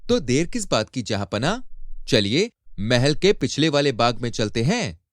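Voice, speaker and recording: A man's voice: mid-range voice, big pitch swings, unhurried, no echo, clean studio sound, pitched above the performer's own voice. A man voicing a clever, respectful royal courtier in a storybook tale, with a courteous, persuasive manner. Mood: sly, respectful. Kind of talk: animated Hindi storybook acting.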